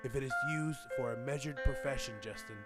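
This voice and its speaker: Man playing a stern, fatherly mentor over loud music. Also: medium pitch, brisk, natural voice. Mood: stern, caring. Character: stern, fatherly male mentor of young acrobats. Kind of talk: radio drama dialogue.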